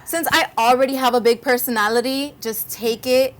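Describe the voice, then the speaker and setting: Chatty Cuban-born woman, animated. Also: on the high side, moderate pace. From Cuba. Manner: animated, laughs often, acts out voices in stories. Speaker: guest co-host. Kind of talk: casual interview chat.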